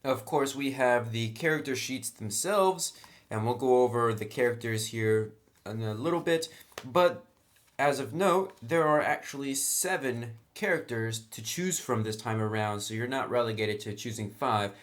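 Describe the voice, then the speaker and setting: A man's voice: medium pitch, moderate pace, a bit bright. Man giving an informal game review. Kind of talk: informal product review commentary.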